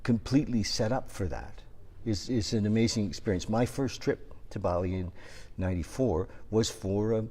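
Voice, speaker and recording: A male podcast host chatting with a guest, giving steady affirmations and rambling through restarts. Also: fairly low pitch, moderate pace. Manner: warm affirming backchannels, rambling with many restarts. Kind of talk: casual interview chat.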